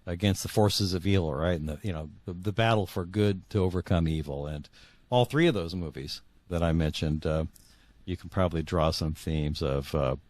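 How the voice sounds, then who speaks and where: Male, 60s, deep voice, dry sound. Thoughtful author guest, conversational, searches for words as he goes. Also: darkish tone, no room sound. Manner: earnest, halting, repeats words as he searches for phrasing. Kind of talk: reflective conversational interview.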